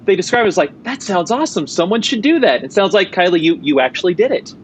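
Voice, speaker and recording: A man hosting a casual interview, talking in a relaxed, chatty way with lots of fillers. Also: high voice, fast, a little hiss or hum. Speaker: interview host.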